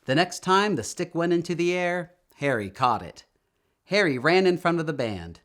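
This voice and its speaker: A man reading a children's story aloud in an expressive, emphatic storytelling manner, drawing out words. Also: fairly high pitch, moderate pace. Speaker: storytime reader.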